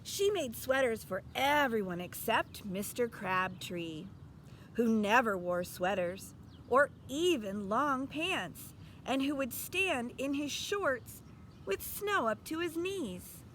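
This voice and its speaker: A woman's voice: a little high, lively intonation, slow, a bit bright, a little hiss or hum. A woman reading a storybook aloud expressively as a teacher, stopping to put instructive questions to young listeners.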